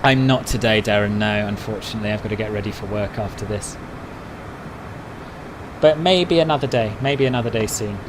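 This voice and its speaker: A man's voice: lowish voice, a little fast, background noise. A man chatting casually on a live stream, answering viewers' messages one by one. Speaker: flight sim streamer.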